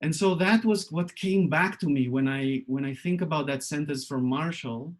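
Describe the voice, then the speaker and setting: A man from Israel giving a reflective personal talk as a workshop presenter, thoughtful and searching, pausing often and restarting his sentences. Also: fairly high pitch.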